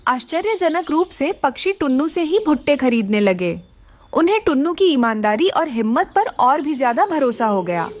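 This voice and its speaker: Female narrator for a kids' cartoon fable, high lilting read over faint noise. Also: big pitch swings, moderate pace. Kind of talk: scripted children's story narration.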